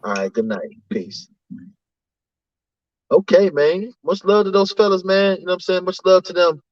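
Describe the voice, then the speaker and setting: A laid-back man hosting a livestream chat, his voice a bit higher than most men's. The sound is muffled and dry, with no room around it. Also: moderate pace, dry recording. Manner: laid-back, reads chat names aloud, repeats "you know what I'm saying". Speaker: livestream host.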